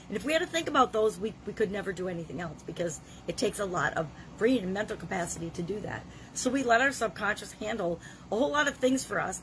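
A middle-aged woman with a gravelly voice from a cold, leading a self-help challenge in an earnest, conversational way. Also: medium pitch, fairly bright tone, a little hiss or hum, rough recording. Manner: earnest, conversational, with frequent restarts. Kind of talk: motivational talk to camera.

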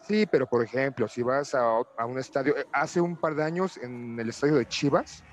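A Mexican man giving his view in a casual panel discussion, haltingly, repeating words as he reasons. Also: mid-range voice, a little hiss or hum.

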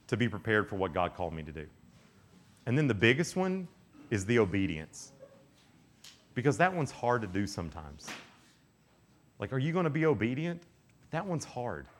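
A young man preaching to a room of teens in a loose, joking way. His pitch swings widely as he acts out little exchanges and exaggerates for laughs. He sounds relaxed and conversational rather than formal. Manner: animated, joking, acts out quoted voices. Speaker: youth camp speaker. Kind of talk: youth sermon.

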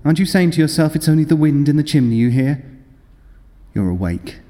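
A man's voice: fairly high pitch, animated, warm tone, lightly acted. An actor playing a nervous, obsessive madman confessing a murderous plot, insistent and intense, in old-time radio horror style. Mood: menacing. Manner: insistent, intense, deliberate self-justifying delivery. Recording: long reverb, music behind.

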